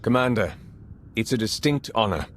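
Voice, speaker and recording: A man's voice: lowish voice, expressive, moderate pace, slight background noise, close to an everyday voice. An actor playing a dutiful, efficient male intelligence agent in a video game, giving briefings in a formal way. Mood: briskly formal. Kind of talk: video game cutscene acting.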